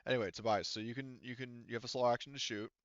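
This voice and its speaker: A man's voice: medium pitch, fairly even intonation, racing, dry recording, lo-fi sound. A man with an informal, sweary way of talking, running an online tabletop roleplaying game as game master.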